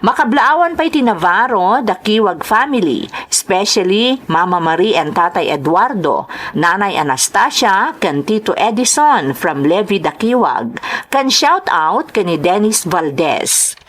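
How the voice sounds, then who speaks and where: A woman narrates a scripted drama program in an Ilocano accent. Her mid-pitched voice rises and falls freely as she reads out announcements and letters as host. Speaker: host and narrator of a radio-style drama show.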